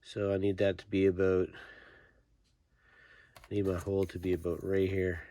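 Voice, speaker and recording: A man's voice: low voice, little pitch movement, moderate pace, mellow. A man giving a casual hands-on how-to narration, informally thinking aloud as he works. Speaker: host of a toy restoration tutorial.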